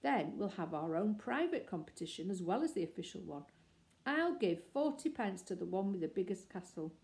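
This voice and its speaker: A woman's voice: mid-range voice, very animated, normal speed, darkish tone. A woman reading the lines of a cheerful, easygoing father, in a jovial, fatherly manner.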